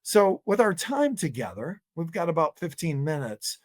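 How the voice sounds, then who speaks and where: A man speaks as an interview host in a fairly high voice with a dry, close sound. He talks conversationally and often rewords a question while he is asking it. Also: big pitch swings, moderate pace, no echo.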